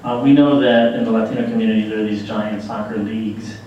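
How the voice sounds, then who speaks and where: A man's voice: fairly low pitch, steady tone, fast, dark tone, highs cut off, slight background noise. A man on a panel who sometimes fills with "uh" and "um". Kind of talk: panel discussion. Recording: echoey room from far off.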